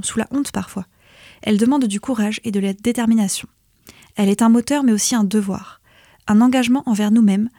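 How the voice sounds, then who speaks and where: Mellow woman reading lyrical prose. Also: fairly high pitch, dry recording, studio quality. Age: 30s. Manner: measured, lyrical cadence with soft, hushed drops at phrase ends. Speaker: essay reader. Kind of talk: scripted poetic narration.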